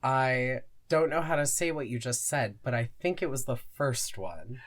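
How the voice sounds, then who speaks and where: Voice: mid-range voice, animated, normal speed. A podcast co-host in casual conversation, talking in a hesitant, thoughtful and self-effacing way.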